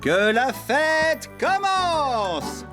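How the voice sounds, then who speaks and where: Excitable high-pitched cartoon farmhand, music behind. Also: male voice, drawn-out words, heavily acted. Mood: excited, festive. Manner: exclamatory, theatrical. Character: excitable, good-natured young male farmhand. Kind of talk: animated children's cartoon acting. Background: loud background music.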